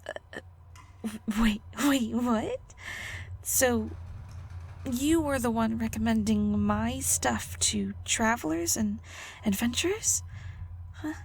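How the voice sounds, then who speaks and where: A woman playing a prickly, guarded but secretly caring alchemist in an audio roleplay, halting and flustered, teasing and defensive. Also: on the high side, vocal fry, slight background noise. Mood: surprised, bashful. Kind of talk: audio roleplay acting, intimate one-sided dialogue.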